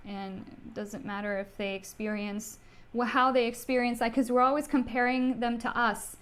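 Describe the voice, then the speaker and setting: Animated, laughing woman from Costa Rica. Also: a little high, moderate pace, clean tone. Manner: animated, laughs often, talks to pets. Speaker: livestream co-host. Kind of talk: casual livestream chat.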